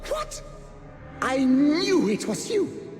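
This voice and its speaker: A man's voice: extremely high pitch, big pitch swings, very slow, over music, heavily acted. An actor playing a bitter, sarcastic dead nobleman and gambler in a video game, exasperated and theatrical. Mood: exasperated, outraged. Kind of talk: video game dialogue acting. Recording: long hall reverb.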